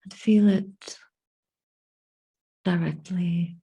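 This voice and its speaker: Female meditation teacher, lowish pitch, breathy and soft, contemplative phrasing with long pauses. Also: fairly even intonation. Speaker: Buddhist nun and meditation teacher. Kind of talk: guided meditation and dhamma reflection.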